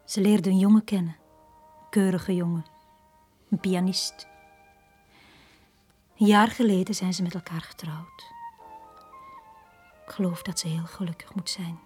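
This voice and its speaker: A Flemish actress playing a lonely, downtrodden young bar girl in a radio drama, speaking in a hushed, halting, confiding way. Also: on the low side, steady tone, soft background music. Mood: wistful, tender. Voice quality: breathy, hushed.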